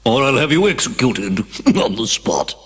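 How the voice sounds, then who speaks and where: Woman doing a deep-voiced stern old king. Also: big pitch swings, moderate pace, breathy edge, full character voice. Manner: stern, pompous, with hums. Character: stern pompous old King of Hearts judge.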